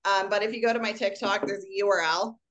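A woman in her late twenties, a guest on a podcast, chatting casually and relaxed. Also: medium pitch, fast. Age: 20s. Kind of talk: casual interview chat.

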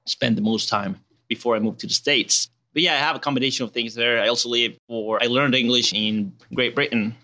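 A man in his late twenties with a Spanish and Venezuelan accent, a relaxed guest chatting casually outdoors. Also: mid-range voice, a little fast. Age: 20s. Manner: laid-back, relaxed and chatty, calls people man.

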